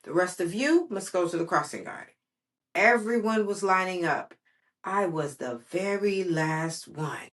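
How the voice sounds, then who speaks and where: A woman's voice: fairly low pitch, measured pace, fairly bright tone. A woman reading a children's story aloud expressively, with casual, patient asides to a child.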